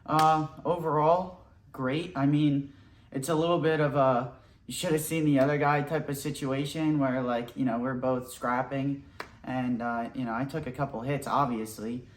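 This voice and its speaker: A young man hosting a mock survival show outdoors, talking in a deadpan, boastful, rambling way. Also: medium pitch, normal speed, slight background noise. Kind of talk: deadpan comedic parody narration. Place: outdoors in nature.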